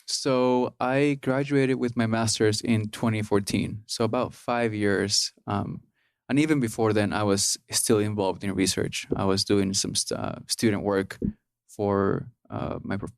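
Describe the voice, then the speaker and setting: Podcast guest in his thirties, from Peru, low gravelly voice, halting with fillers, dry close mic. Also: fairly even intonation, no room sound. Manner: hesitant, many ums and restarts. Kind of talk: casual interview.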